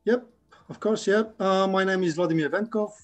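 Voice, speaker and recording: Man, halting, fairly high voice. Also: soft background music. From Bulgaria. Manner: halting, with many restarts and fillers. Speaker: guest, 3D artist. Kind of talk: casual interview chat.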